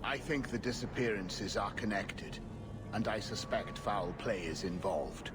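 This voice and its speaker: Man playing a low-voiced, weary aging knight. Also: over loud music, close to an everyday voice. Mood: earnest. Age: middle-aged. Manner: formal, earnest, measured. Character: weary aging templar knight, formal and discouraged. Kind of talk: video game dialogue acting. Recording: clear noise behind the voice.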